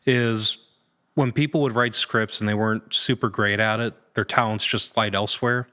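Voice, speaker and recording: Male podcast guest, bright forward tone on a lowish voice, casual, thinking aloud. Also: fairly even intonation, moderate pace. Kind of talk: casual interview chat.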